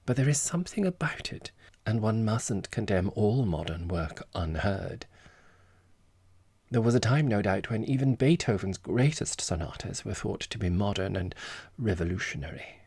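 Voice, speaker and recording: Genteel society lady, acted by a man, audiobook character, affected, coy, self-important, precious phrasing, husky, dry sound. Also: fairly low pitch, animated, normal speed, darkish tone, dry recording, exaggerated voice. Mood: gracious, affected. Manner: theatrical, feigned reluctance, precious phrasing.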